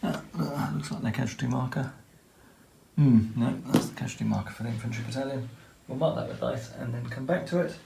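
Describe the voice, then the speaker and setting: A man's voice: medium pitch, gravelly, echoey room, noisy background. A man methodically explaining each step of a tabletop wargame turn, talking through the rules as he plays. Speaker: wargame player explaining turns. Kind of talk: tabletop game commentary.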